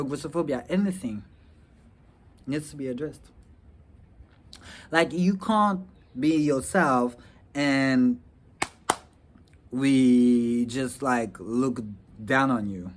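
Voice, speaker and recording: A young man from Rwanda talking earnestly about his future plans in a personal vlog, with halting restarts and many fillers. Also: mid-range voice. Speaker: vlogger. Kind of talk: personal reflective talk to camera.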